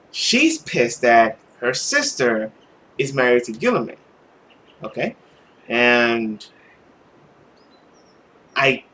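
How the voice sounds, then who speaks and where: Visual novel let's player, expressive storytelling, casual asides, lively pitch, slightly noisy recording. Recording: faint background noise.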